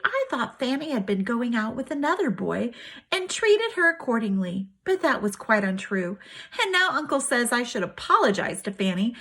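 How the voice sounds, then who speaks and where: An earnest teenage boy, as a woman reader puts him on in a read-aloud story. He is polite and keen to explain himself, with a light, higher voice that rises and falls eagerly. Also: a bit bright.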